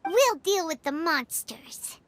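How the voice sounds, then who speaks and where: A woman's voice: very high-pitched, sing-song, takes it slowly, no room sound, full character voice. A woman voicing a small, perky fairy companion girl with a childlike manner in a video game, with music behind her. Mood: reassuring, perky.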